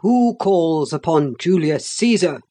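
A solemn, imperious ancient Roman commander from a storybook, played by a woman reader. The delivery is very slow, stately and formal, declaimed like a proud general giving orders. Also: deep voice, lively intonation, warm tone, heavily acted. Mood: solemn, challenging.

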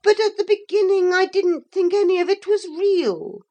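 A woman's voice: very high voice, moderate pace, a little mellow, voice pushed up. A woman voicing a bitter, defiant former servant woman who speaks her mind scornfully. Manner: scornful, fierce, tearful outbursts.

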